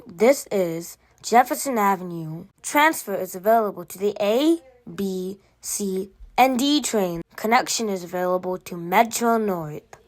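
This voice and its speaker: Measured woman making dry, scripted transit announcements. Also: mid-range voice, no echo. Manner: measured, formulaic, segmented phrasing. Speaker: automated train announcer.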